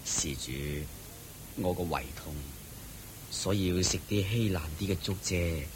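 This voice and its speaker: An actor playing a humble monk with an upset stomach, speaking softly and apologetically in a radio play. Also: male voice, low-pitched, measured pace, breathy edge, exaggerated voice, rough recording. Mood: meek. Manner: soft, apologetic, sometimes whispery. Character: meek humble male monk, ailing. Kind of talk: Buddhist radio drama acting.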